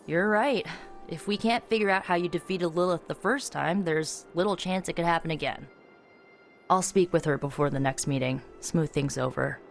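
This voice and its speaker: An actress playing an informal, chatty and slightly awkward young sorceress in a video game. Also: female voice, fairly low pitch, moderate pace, creaky, faint music behind. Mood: friendly, careful. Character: casual, eager young sorceress, a bit awkward. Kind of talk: video game cutscene acting.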